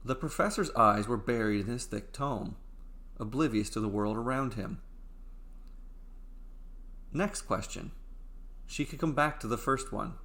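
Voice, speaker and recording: A man reads scripted fiction as a storyteller. His mid-pitched voice moves in measured phrases, broken by dramatic pauses. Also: a bit bright. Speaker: storyteller, narrator.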